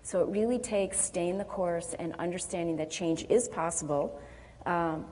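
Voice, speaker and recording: A woman speaking as a panelist in a measured, persuasive manner. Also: on the low side, warm tone. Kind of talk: panel discussion answer. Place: conference hall. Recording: long hall reverb.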